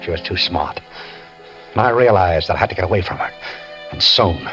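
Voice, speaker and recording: A man's voice: low-pitched, lively intonation, fairly quick, fairly bright tone, soft background music. An actor playing a handcuffed escaped prisoner who narrates his story in wry, tense first person, in 1940s radio acting style. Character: handcuffed escaped male prisoner, wary and scheming. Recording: dull old radio broadcast.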